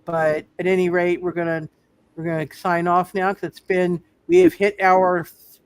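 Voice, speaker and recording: High-voiced man hosting, halting delivery. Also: level tone, smooth, no echo. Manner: halting, repetitive, circling back. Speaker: game master and host. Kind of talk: casual sign-off talk on live roleplay show.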